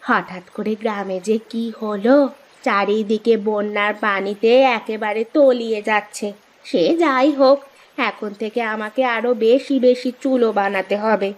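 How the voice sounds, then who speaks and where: A woman voicing village wives in a Bengali fairy-tale cartoon, with an expressive, plaintive delivery. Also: medium pitch, measured pace, lightly acted. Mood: hopeful, musing. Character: village wives, grumbling housewife and poor humble stove seller. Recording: music under the voice.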